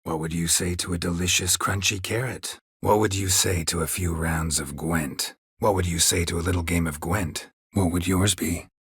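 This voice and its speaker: Gruff middle-aged monster hunter, very husky rasp, low-pitched, terse, sardonic, stoic video game hero. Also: male voice, moderate pace, few pauses, dry recording, clean studio sound, full character voice. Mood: dry, questioning. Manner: terse, clipped, deadpan. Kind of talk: video game character dialogue.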